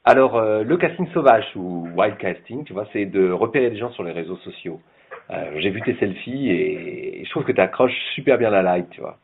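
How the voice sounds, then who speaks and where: A man's voice: fairly low pitch, animated, moderate pace, vocal fry, husky, heavily acted. A man voicing a slick, trendy young casting scout who drawls anglicisms in a prank phone call. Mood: smarmy, laid-back. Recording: tinny, narrow phone line.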